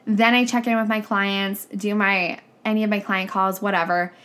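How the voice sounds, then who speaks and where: A woman's voice: medium pitch, little pitch movement, normal speed, a bit bright. Young woman, nasal and congested from a cold; frank, chatty business coach on a podcast. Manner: chatty, frank, says "like" and "right?" often. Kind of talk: casual podcast monologue.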